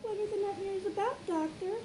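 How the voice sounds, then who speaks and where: A woman's voice: very high voice, brisk. A woman voicing an exhausted, caring female pony nurse in an amateur audio drama reading, earnest and concerned. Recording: music behind the voice.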